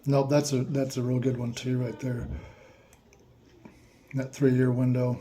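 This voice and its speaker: Man, very dark mellow tone, reflective questioning, faint hiss behind. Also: medium pitch, flat delivery. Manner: slow, repetitive questions with mock-surprised asides.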